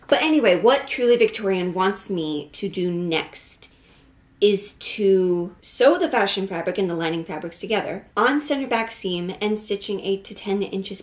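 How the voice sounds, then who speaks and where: Casual, candid woman vlogging a sewing project. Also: medium pitch. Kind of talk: casual vlog chat and project narration.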